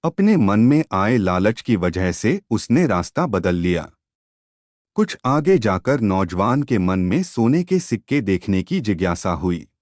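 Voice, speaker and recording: A man narrating a scripted moral tale in a measured, expressive storytelling manner. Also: lowish voice, no room sound, clean studio sound.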